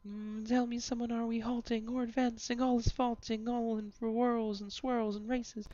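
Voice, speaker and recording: Flighty marsh-light spirit, female reader: deferential, lilting verse, highish voice, slightly muffled recording. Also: little pitch movement, moderate pace, warm tone, close to an everyday voice. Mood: dreamy wonder. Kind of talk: amateur dramatic reading of verse. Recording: slightly dull, lo-fi sound.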